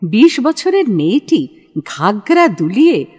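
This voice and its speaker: A woman's voice: a little high, very animated, takes it slowly, a little mellow, breathy edge. A woman narrating a literary story for an audio play. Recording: long hall-like reverb.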